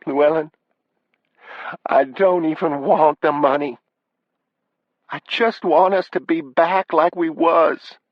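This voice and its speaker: A man's voice: on the high side, fairly even intonation, slow pace, pauses often, dry recording, dull audio, voice pushed up. A man voicing an anxious young wife from the American South, pleading in a plaintive, worried drawl. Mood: tearful pleading.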